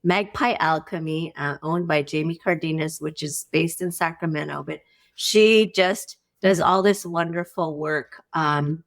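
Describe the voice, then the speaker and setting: A middle-aged woman chatting casually as a podcast guest, expressive and informal in the way she talks. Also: sits low, a little slow. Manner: expressive, informal, peppers talk with "like" and "you know". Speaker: guest, farmer and former academic. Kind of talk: casual, conversational podcast chat.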